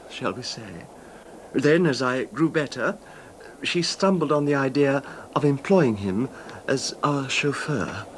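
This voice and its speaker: An actor playing a controlled, wronged husband calmly confessing his revenge in a 1970s radio drama, measured and understated, with slight hesitations. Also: mid-range voice, a little hiss or hum, close to an everyday voice, low-quality recording. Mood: rueful, restrained.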